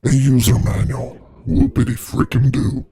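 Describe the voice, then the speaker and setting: A man with a gravelly, husky, effected voice narrating a product unboxing in a casual, sweary, irreverent way. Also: mid-range voice, fairly even intonation, unhurried, noisy background. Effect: voice changer processing.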